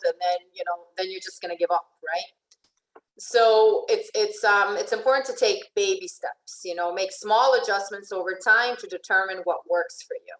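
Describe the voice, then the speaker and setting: A woman life coach who grew up in Venezuela gives a relaxed talk over a video call, her voice ringing in an echoey room. She sits at a middling pitch and chats along, looping back to restart her phrases and asking "right?" as she goes. The sound has a roomy, reflective quality. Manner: chatty, often restarts and asks "right?". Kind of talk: informal online presentation.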